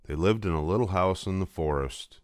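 A man's voice: low voice, a little fast, no room sound, clean studio sound. An older man reading a children's storybook aloud as scripted narration. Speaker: storybook reader.

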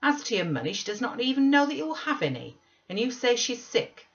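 An indignant elderly servant woman acted by a woman reader scolds emphatically in a raised pitch, as in a storybook reading. The recording is slightly dull. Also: big pitch swings, a little fast. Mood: reproachful, pleading. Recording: slightly dull webcam audio.

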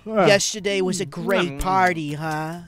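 A woman's voice: lowish voice, measured pace, crowd noise. A woman hosting a gaming channel, playfully dubbing a visual novel with profane, exclaiming commentary. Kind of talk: comic dubbing and casual chat over a visual novel.

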